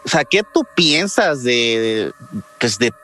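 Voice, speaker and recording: A man co-hosting a podcast, asking casual interview questions in a halting way, repeating his phrases. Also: mid-range voice, sing-song, bright, forceful tone, faint music behind. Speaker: podcast co-host.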